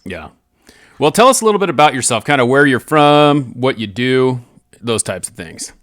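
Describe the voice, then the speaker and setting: A man's voice: mid-range voice, sing-song, moderate pace, a bit bright, no echo, clean studio sound. An American man hosting a podcast, interviewing a guest in an informal, conversational way. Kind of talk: casual interview chat.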